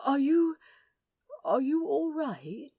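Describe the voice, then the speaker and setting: A woman's voice: sits high, expressive, slow, mellow, no room sound, studio quality, pitched above the performer's own voice, heavily acted. A woman voicing a prim, fussy male angel with a genteel lilt, polite and easily flustered. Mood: hesitant concern. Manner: lilting, genteel, proper, hesitant when flustered.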